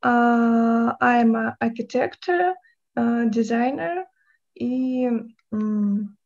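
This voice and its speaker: A woman's voice: a little high, drawn-out words, a little mellow. A woman from Russia, an architect whose first language is Russian, introducing herself hesitantly in English over a video call. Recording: dull video call audio.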